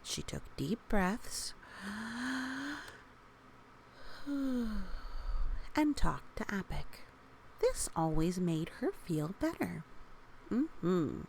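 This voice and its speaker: A woman reading a children's story aloud in a gentle, encouraging way, with casual asides to young listeners and a creaky voice that often softens toward a whisper. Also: medium pitch, big pitch swings, drawn-out words, pauses often. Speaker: storybook reader. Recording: faint background noise.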